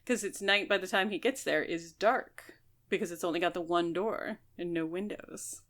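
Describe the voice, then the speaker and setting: A woman's voice: lowish voice, moderate pace, fairly bright tone. A woman co-hosting a podcast, chatting casually as she talks through a book. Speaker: podcast co-host.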